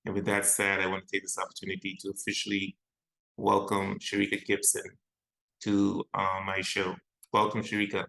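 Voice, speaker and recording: A man hosts an interview show in a very deep voice with a gravelly edge. His tone is bright and tense, and he welcomes his guest formally before cutting in with short replies. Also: monotone, normal speed, low-quality recording. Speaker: talk show host. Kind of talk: interview hosting.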